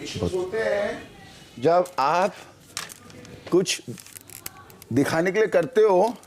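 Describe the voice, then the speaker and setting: A woman's voice: lowish voice, animated, slow pace, darkish tone, slight background noise. A woman teasing and lecturing a housemate in casual talk. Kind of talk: casual conversation.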